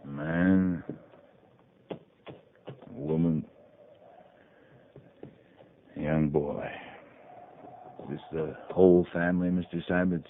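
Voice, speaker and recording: An American actor playing a stern, demanding cavalry captain in a 1950s radio drama, giving clipped orders and challenging questions. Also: male voice, low-pitched, fairly even intonation, slow, long pauses, phone-quality sound. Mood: grim, subdued. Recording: noisy, band-limited 1950s radio recording.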